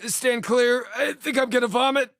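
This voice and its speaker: A man voicing an irreverent, excitable, furry shapeshifting druid in a video game, with a wry, cheeky, theatrical delivery. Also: very high-pitched, moderate pace, dry recording, clean studio sound. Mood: urgent, combative. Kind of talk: video game character dialogue and battle barks.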